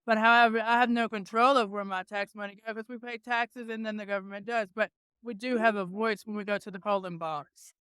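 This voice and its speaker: A rally attendee interviewed outdoors, speaking emphatically with halting fillers. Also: medium pitch, fairly even intonation, bright, forceful tone, smooth, dry recording. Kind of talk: street interview.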